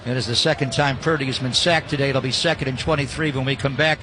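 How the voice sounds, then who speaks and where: A man's voice: medium pitch, steady tone, fast. An American man calling a football game live as a radio play-by-play announcer. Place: broadcast booth at a stadium. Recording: live broadcast over clear stadium noise.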